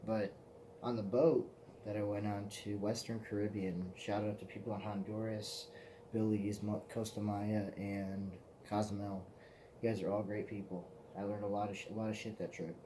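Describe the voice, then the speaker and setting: A man's voice: lowish voice, flat delivery, normal speed, noisy background. A man in his twenties, hosting his own vlog in a loose, profane, meandering casual chat. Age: 20s. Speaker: vlog host.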